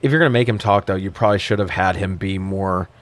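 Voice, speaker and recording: A man's voice: low voice, fast talker. A man co-hosting a podcast, chatting casually about films in a joking, informal, sweary way. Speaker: podcast co-host. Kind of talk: casual movie-review chat.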